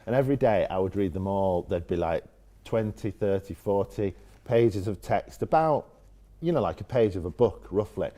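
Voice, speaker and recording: A man's voice: on the low side, animated, moderate pace, warm tone. A man with a Northern English accent telling casual personal anecdotes in an informal, self-deprecating way, as an interview guest. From Leeds, northern England.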